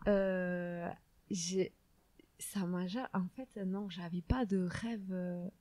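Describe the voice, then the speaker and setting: A woman from southwest France with a southwestern French accent, a podcast guest answering casually with many pauses and fillers. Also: fairly low pitch, steady tone, mellow, dry recording. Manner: hesitant, many fillers, laughs easily.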